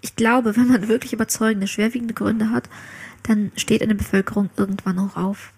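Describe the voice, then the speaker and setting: A woman from Ukraine, a podcast guest, chatting casually and laughing often, her voice sometimes trailing off into a soft whisper. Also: mid-range voice, level tone, normal speed, gravelly, slightly rough. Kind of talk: casual conversation.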